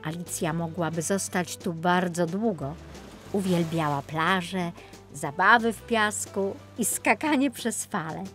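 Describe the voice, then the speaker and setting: Woman narrator, children's story, very animated intonation, playful and expressive, music underneath. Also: fairly low pitch.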